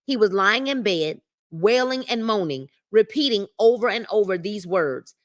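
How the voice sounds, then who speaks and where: A woman's voice: mid-range voice, animated, normal speed. A woman hosting a live-streamed book club, reading a self-help book aloud and breaking in with emphatic commentary. She repeats key phrases and speaks directly to her viewers in the chat. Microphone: livestream mic.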